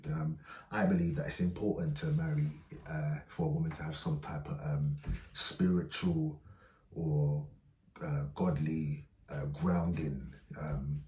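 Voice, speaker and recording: A man hosting a vlog, commenting casually with hesitant pauses and many fillers. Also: rumbling low, steady tone, mellow. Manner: hesitant, many ums and restarts. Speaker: vlog host reacting to clips. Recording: echoey room, voice from far off.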